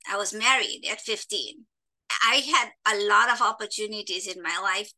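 A woman's voice: mid-range voice, bright, forceful tone, poor audio. A middle-aged woman from Kenya and Tanzania, telling stories and giving advice emphatically as an interview guest. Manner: emphatic, instructive, checks in with "right?". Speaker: podcast guest, entrepreneur. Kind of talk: conversational storytelling and advice.

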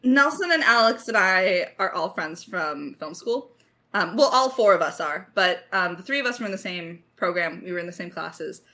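A woman's voice: lowish voice, animated, normal speed, sharp tone. A woman co-hosting a podcast Q&A, talking in a chatty, informal, joking way. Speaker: podcast co-host and audio drama creator. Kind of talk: casual Q&A chat.

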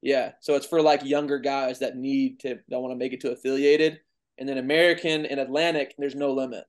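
Relaxed young man rambling casually. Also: mid-range voice, fairly quick. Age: 20s. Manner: relaxed, rambling, heavy use of "like". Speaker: guest baseball pitcher. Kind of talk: casual podcast interview.

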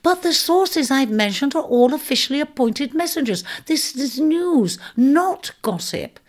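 Storybook mouse messenger, male, tiny and excitable, played by a woman narrator. High, quick chatter. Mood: insistent. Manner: chattering, shrill and breathless.

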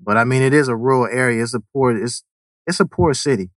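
A man's voice: medium pitch, fast, no room sound. Chill guy from connecticut just chatting, dry close sound, keeps saying you know what i mean. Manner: laid-back, repeats words, lots of "you know what I mean". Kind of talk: casual chat.

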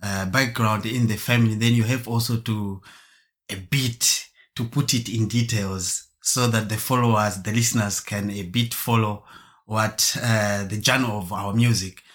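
A man who grew up in Germany, talking as a musician guest in an interview, halting with fillers and restarts and laughing now and then. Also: lowish voice, fairly even intonation, a bit bright. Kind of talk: casual storytelling interview.

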